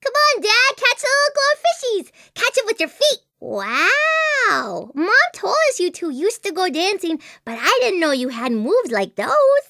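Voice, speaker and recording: A woman's voice: very high voice, wildly swooping pitch, a little slow, few pauses, sharp tone, dry recording, clean studio sound, exaggerated voice. A woman voicing an imaginative, anxious little girl in a video game, with an earnest, dramatic, childlike delivery. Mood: excited, then chatty, earnest. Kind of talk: video game character voice acting.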